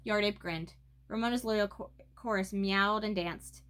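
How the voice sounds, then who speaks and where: A woman's voice: mid-range voice, measured pace, fairly bright tone. A woman reading a children's book aloud as narrator. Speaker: storybook reader.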